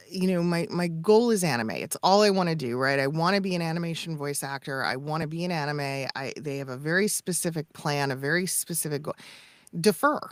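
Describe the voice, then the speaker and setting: A woman coaching in casual talk, emphatic and expressive, acting out imagined quotes. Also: low-pitched, no echo. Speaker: voice-over coach and podcast co-host.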